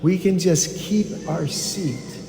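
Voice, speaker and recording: A man leading a guided meditation as a teacher, giving calm, deliberate instructions with pauses. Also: a little high. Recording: echoey hall with background noise.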